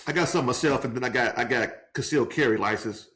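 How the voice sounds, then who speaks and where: A man co-hosting a podcast, teasing his cousin in casual, animated chat. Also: medium pitch, quick pace. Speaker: podcast co-host.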